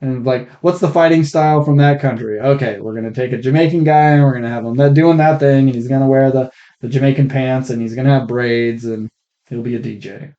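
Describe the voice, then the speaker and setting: A man co-hosting a podcast in casual conversation, recorded with rough audio on a faulty microphone. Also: medium pitch, fairly even intonation, fairly quick, mellow, highs cut off. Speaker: podcast co-host. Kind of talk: casual chat.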